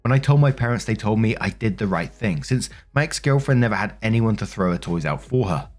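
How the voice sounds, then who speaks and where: A man's voice: lowish voice, lively intonation, fairly quick, faint music behind. A British man reading out forum stories as a host, conversational and speaking directly to his listeners. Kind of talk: narrating forum stories with casual commentary.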